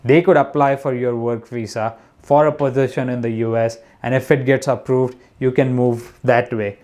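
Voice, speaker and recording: Young man from india, casual guest chat, rambling with restarts, mid pitch. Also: fairly even intonation, moderate pace, darkish tone. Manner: rambling, many restarts and fillers. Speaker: guest, comedian. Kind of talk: casual conversation.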